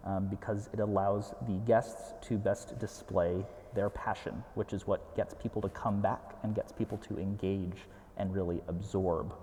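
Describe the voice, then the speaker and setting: A man speaking on a panel in a measured way with fillers. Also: sits low, dark tone, long reverb tail, a little hiss or hum. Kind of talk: academic panel talk.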